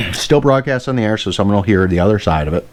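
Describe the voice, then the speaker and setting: American male hobbyist, lowish pitch, informal enthusiastic demo delivery, off the cuff. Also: lively intonation, fast, darkish tone. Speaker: radio hobbyist presenter. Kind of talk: casual hands-on product demonstration.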